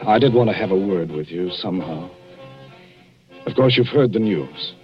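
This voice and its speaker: An actor playing an earnest doctor, polite and halting but intense, in old-time radio drama style. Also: male voice, deep voice, animated. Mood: hesitant, polite. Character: earnest, hesitant doctor secretly in love with married woman. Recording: dull old radio recording with music.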